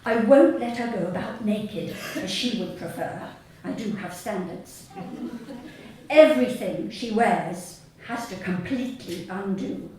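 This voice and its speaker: A woman with a southern English accent reads her humorous short story live on stage, deadpan and measured, with pauses. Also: fairly high pitch. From Sussex, England. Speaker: author reading own short story. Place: small theatre stage before an audience. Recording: very echoey hall, distant mic, faint noise.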